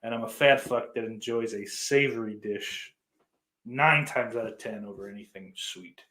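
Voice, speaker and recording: A man's voice: on the low side, moderate pace, fairly bright tone. A man hosting a podcast, chatting casually and answering listener comments in a blunt, profane way. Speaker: podcast host.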